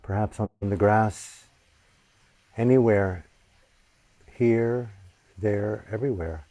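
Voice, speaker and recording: Male meditation teacher, low and warm, very slow and reverent, long pauses. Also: no room sound. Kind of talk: guided meditation.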